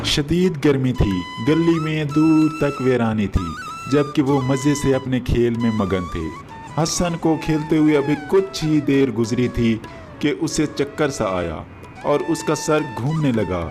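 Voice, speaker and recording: Animated male storyteller over music. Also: mid-range voice, a little slow. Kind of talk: narrating a children's moral story. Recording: music behind the voice.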